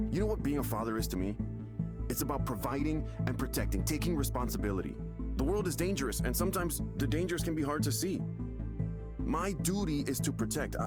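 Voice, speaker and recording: An actor playing a nervous, defensive expectant father in a visual novel, hesitant and stammering as he talks. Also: fairly high pitch. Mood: agitated, indignant. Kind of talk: visual novel game dialogue. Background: music.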